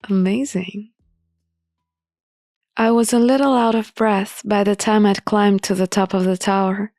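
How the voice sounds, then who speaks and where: A woman reading a visual novel aloud with expressive narration and casual commentary. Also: medium pitch, measured pace, no room sound, studio quality. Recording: music playing behind the voice. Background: music.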